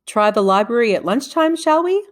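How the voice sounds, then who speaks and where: A woman's voice: on the high side, very animated, normal speed, voice pushed up. Woman reading as curious, eager schoolboy. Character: curious, eager schoolboy plotting with his friend.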